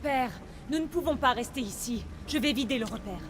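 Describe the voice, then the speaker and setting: An actress playing a warrior's earnest young daughter in a video game, intense and wounded. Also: female voice, high-pitched, expressive, a little fast, background noise. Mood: urgent. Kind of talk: dramatic video game acting, French dub.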